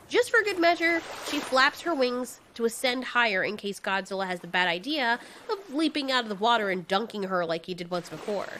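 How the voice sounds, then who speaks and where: A woman narrates a scripted story. Her voice sits on the high side and moves animatedly up and down, with music underneath. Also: bright, forceful tone. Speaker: narrator and host.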